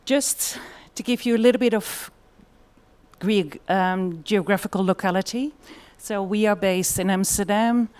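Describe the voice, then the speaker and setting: A woman's voice: medium pitch, a little slow, darkish tone, clear, resonant tone. A woman with a Dutch accent giving a conference presentation in a thoughtful way, with frequent fillers. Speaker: conference speaker.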